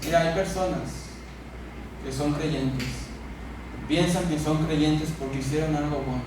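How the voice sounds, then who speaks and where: A man with a Mexican accent preaching a sermon as a pastor, speaking emphatically with repeated phrases and pauses for effect. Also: on the high side, fairly even intonation. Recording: echoey church hall, distant mic, noisy.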